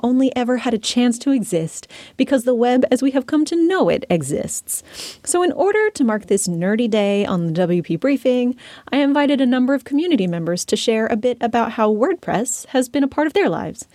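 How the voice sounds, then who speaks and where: A woman hosts a podcast, reading her script in a measured, friendly way. Her voice sits a little high. The sound is dry and close. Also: big pitch swings, dark tone, dry recording. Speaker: podcast host.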